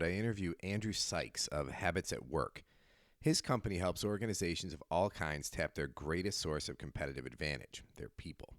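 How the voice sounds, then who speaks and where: A man's voice: deep voice, no room sound, clean studio sound. A man hosting a podcast, introducing his guest in a friendly way with some filler hesitations. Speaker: podcast host. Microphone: video call.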